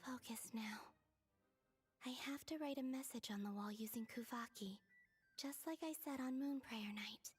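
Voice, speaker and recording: Gentle young game heroine, high voice, hushed and breathy, anxious, earnest, music behind. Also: female voice, moderate pace. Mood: focused. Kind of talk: video game voice acting.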